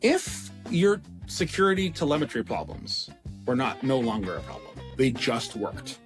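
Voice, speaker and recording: A man's voice: medium pitch, very animated, moderate pace, over music. Israeli man, casual rambling interview talk. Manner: rambling, self-deprecating, many restarts. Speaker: startup CEO guest.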